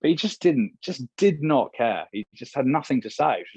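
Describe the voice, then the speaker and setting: A man's voice: medium pitch, big pitch swings, a little fast, a little mellow, breathy edge. A British man speaking as an interview guest and radio presenter, chatty, candid and emphatic in casual conversation. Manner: chatty, candid, emphatic, acts out imagined remarks. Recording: roomy, slightly muffled sound.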